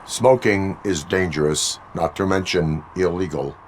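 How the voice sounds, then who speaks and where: An actor playing a stoic cyborg police officer in a video game, formal and deadpan, speaking in stiff moral maxims. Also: male voice, low-pitched, a little slow, noisy background. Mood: stern, even. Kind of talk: video game cutscene acting.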